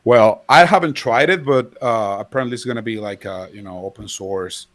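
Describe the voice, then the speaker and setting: A man's voice: medium pitch, moderate pace, fairly bright tone, no echo. A man from Venezuela, a native Spanish speaker, chatting casually as a podcast guest with a hesitant, filler-heavy way of talking. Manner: hesitant, many fillers and restarts. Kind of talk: casual chat.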